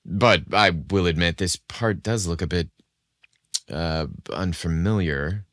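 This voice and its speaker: A man's voice: low-pitched, takes it slowly, a bit bright, no room sound, studio quality. A man voicing a young hiker in a story, casually reassuring and teasing with a slightly hesitant, nervous edge. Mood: reassuring, unsure. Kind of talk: dramatized story narration.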